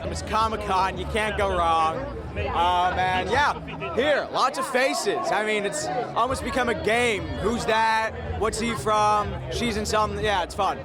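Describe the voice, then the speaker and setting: A man chats casually in a high voice at a fan convention. Loud music and a dense crowd swell behind him. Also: a little slow. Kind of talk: casual chat.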